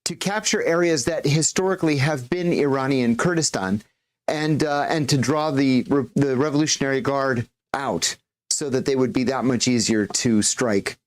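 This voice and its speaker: A man interviewed as a commentator on TV news, speaking in a measured, confident way with a few hesitations. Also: mid-range voice.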